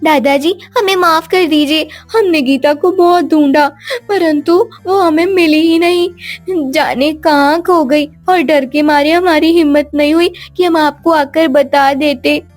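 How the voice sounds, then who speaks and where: A timid cartoon little girl, played by a woman, with a very high, slow voice. She sounds innocent and pleading, over faint music. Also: fairly even intonation. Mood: apologetic. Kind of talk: Hindi animated children's story acting.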